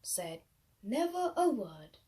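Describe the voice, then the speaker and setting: A woman with a fairly high voice reads a children's picture book aloud. She is expressive and deliberate, leaning on the rhymes as a storyteller. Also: husky.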